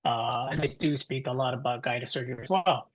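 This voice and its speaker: A man in his thirties with an Italian accent, presenting informally to a webinar in a halting, conversational way. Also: medium pitch, a bit bright. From Italy. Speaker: guest presenter, dental technician. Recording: dull, muffled webinar audio.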